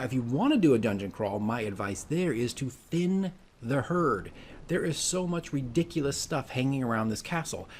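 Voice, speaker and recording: A man gives game-running tips in a casual, chatty vlog manner. His voice sits at a middle pitch. He corrects himself as he goes and keeps tagging his sentences with a questioning "right?". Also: breathy edge. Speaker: dungeon master giving game tips. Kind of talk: casual advice vlog, talking from notes.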